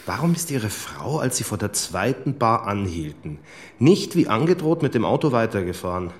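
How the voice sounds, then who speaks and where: An actor playing a controlled police lieutenant in a radio drama, putting persistent, matter-of-fact questions. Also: male voice, lowish voice, very animated, moderate pace. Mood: probing. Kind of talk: naturalistic radio drama acting.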